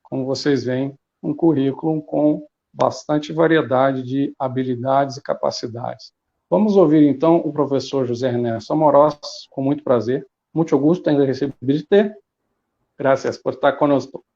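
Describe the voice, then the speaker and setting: Mellow Brazilian man moderating, muffled audio. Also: mid-range voice, steady tone, moderate pace. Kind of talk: introducing a speaker at an online conference. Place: online conference call.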